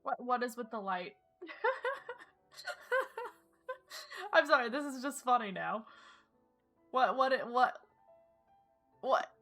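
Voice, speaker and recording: Bright-voiced woman livestreaming a game. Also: sits high, sing-song, extremely slow, long pauses. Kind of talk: casual live game commentary. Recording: game music playing behind.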